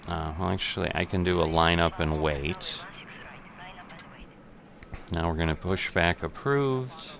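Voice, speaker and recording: A middle-aged man giving unscripted simulation game commentary, thinking aloud in a methodical, halting way. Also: sits low, vocal fry, fairly bright tone, slight background noise. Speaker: gaming video host.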